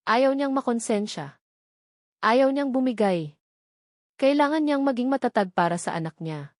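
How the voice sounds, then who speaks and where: Female narrator, Filipino accent, dramatic romance storytelling, medium pitch, close dry recording. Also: darkish tone, dry recording. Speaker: audiobook narrator.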